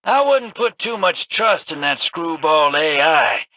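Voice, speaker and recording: A wily, scruffy gambler and rogue in a video game, full of folksy jokes and slang. His voice is husky and bright, pitched a little high, and it rolls slowly with a lively swing. The sound is dry and close. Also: dry recording. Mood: sly. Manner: wisecracking, drawling, folksy slang.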